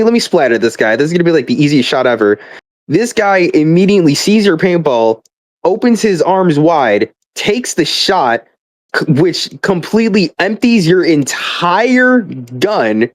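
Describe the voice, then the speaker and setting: A man's voice: a little high, no room sound. A young man in a casual, rambling rant, swearing freely, exasperated and exaggerating, often stammering as he restarts his words. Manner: profane, exasperated, hyperbolic; stammering repeated starts. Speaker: gamer.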